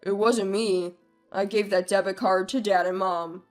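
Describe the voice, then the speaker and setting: Selfish, condescending husband for a story drama, voiced by a woman, lowered pitch, curt delivery. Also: fairly even intonation, unhurried, faint music behind, close to an everyday voice. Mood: dismissive. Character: domineering, dismissive, selfish husband, adult man. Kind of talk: acted dialogue in a narrated family drama story.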